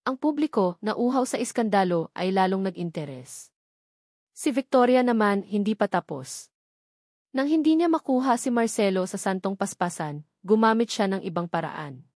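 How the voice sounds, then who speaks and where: A woman's voice: medium pitch, expressive, normal speed, darkish tone, dry recording, clean studio sound. A woman narrating a scripted, dramatic romance story as a storyteller.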